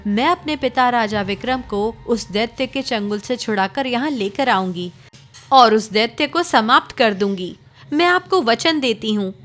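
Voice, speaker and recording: A woman's voice: on the high side, expressive, a little slow, a bit bright, soft background music. A woman voicing a brave, determined young princess in a cartoon. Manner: resolute, reassuring.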